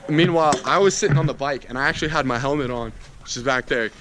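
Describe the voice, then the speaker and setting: A man's voice: mid-range voice, fast. Young American male, casual slangy storyteller, noisy homemade video audio. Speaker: vlogger telling a story.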